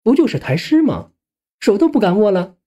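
Man voicing a blunt, teasing young woman, husky. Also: high-pitched, wildly swooping pitch, moderate pace, warm tone, pitched above the performer's own voice, full character voice. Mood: scornful.

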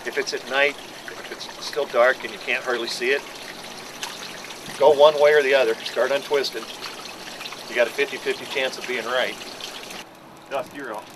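Middle-aged boat captain giving direct instructions. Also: male voice, mid-range voice, fairly even intonation, normal speed, pauses often. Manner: practical, direct, plain-spoken instructions. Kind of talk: instructional briefing to a charter group. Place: outdoors on the deck of a docked fishing boat. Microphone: camera mic from a few feet away. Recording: outdoor camera audio with clear background noise.

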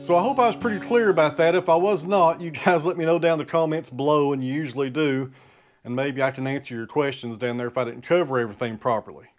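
A man in his thirties talks viewers through his woodshop machinery in a plain-spoken, folksy way, calling them friends and guys. He holds the camera close at arm's length in an indoor workshop. Plucked guitar music plays clearly under his voice. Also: on the high side, fast. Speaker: sawmill vlogger. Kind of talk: casual how-to explanation to camera.

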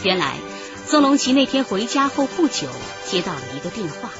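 A woman's voice: on the high side, big pitch swings, brisk. A woman delivering dramatic scripted narration for a radio crime drama. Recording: dull sound under music.

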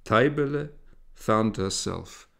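A man reading a literary story aloud as a narrator, in a measured, expressive way with dramatic emphasis. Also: lowish voice, gravelly. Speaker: audiobook narrator.

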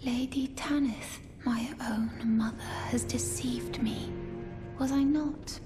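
An actress voicing a gentle, troubled young woman, the daughter of a manor lady, speaking in a slow, soft, breathy whisper. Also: high-pitched, steady tone. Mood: wondering, grateful. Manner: slow, tender, sorrowful delivery. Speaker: game character. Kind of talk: video game cutscene acting. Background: game music.

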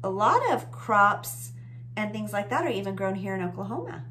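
Animated American woman hosting children's story time. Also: mid-range voice, normal speed, a little hiss or hum. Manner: animated, explaining simply with questions for children. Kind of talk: reading a picture book aloud, chatting with a puppet. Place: small indoor room with bookshelves.

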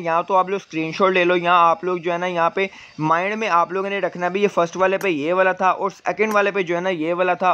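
Guy w/ fast speech doing a casual game walkthrough, repeats himself a lot, kinda muffled lofi audio. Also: high voice, fairly bright tone. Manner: repetitive explanatory phrasing with frequent verbal tags. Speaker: gaming video presenter. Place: voice-over on screen-captured gameplay. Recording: dull, lo-fi voice recording.

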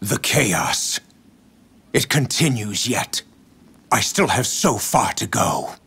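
An actor playing an earnest, determined young warrior in a war video game, speaking solemnly and dramatically. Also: male voice, lowish voice, sing-song, slow, gravelly, bright, forceful tone, breathy edge, full character voice. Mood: solemn resolve. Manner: solemn, resolute.

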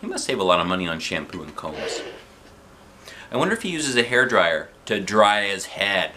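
A man reading a children's book aloud in an expressive, playful way, with chatty asides. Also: deep voice, normal speed, noisy background. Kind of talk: animated storybook read-aloud with chatty asides. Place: living room sofa, indoors. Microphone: camera a short distance away.

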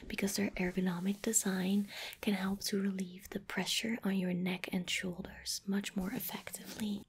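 A young woman speaking in a gentle, hushed ASMR whisper, breathy and close to the microphone. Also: medium pitch. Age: young adult. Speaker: ASMR video creator. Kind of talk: soft-spoken ASMR. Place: small indoor room at home. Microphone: close desk microphone.

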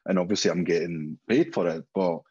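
A man from Spain speaks English with a Spanish accent, his voice slightly low with a husky edge. He chats openly and swears freely as a guest on a video call. The sound is somewhat dull and lo-fi. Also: fairly quick, a little mellow. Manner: candid, sweary storytelling. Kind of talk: casual interview chat. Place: home room, indoors.

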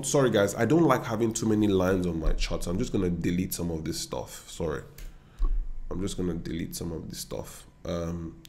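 A man's voice: low voice, gravelly, a little mellow, slight background noise. A young Nigerian man with a Nigerian accent talking viewers through forex charts in a confident, chatty way, recorded at his desk. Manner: confident, chatty, talks straight to viewers with asides. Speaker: forex trader and educator. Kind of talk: screen-share trading analysis. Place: indoor room.

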